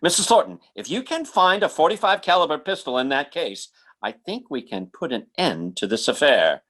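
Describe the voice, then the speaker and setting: A man playing an earnest stage psychic in an old-time radio drama, speaking haltingly. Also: a little high, very animated, fairly bright tone. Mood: resolute. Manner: halting, stammering. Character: earnest male stage psychic turned urgent helper.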